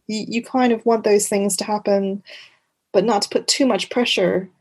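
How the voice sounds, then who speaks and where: A woman's voice: mid-range voice, fairly even intonation, moderate pace, darkish tone, husky. A woman from Montreal speaking as an interview guest in a reflective, conversational way, earnest and often rephrasing mid-thought. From Montreal, Canada. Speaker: interview guest, author and journalist.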